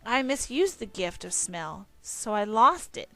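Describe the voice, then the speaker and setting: A woman voicing a weak, humbled old male wolf in a storybook, read slowly and solemnly. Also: medium pitch, big pitch swings, pushed and bright, soft background music, full character voice.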